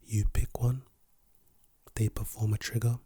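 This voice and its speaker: A man with a lost, hoarse voice, speaking softly and whispering in a playful, teasing way as an ASMR creator. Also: fairly low pitch, flat delivery, a little slow, no room sound. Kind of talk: soft-spoken and whispered ASMR.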